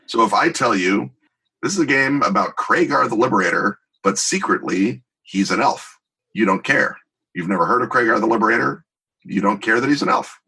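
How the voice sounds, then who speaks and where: Husky, bright-voiced man explaining emphatically. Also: on the low side, expressive, normal speed, low-quality recording. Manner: emphatic, wry, digressive with vivid examples. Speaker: interview guest, tabletop game designer. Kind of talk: conversational podcast interview, explaining.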